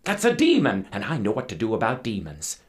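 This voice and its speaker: A male storyteller playing a boastful old-time ship captain who shouts orders. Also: lowish voice, wildly swooping pitch, brisk. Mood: urgent.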